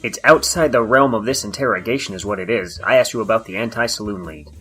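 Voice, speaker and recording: An actor playing a weary, dry-witted male detective in an audio drama, speaking in a stern, deadpan way. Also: fairly low pitch, quick pace, faint music behind, poor audio.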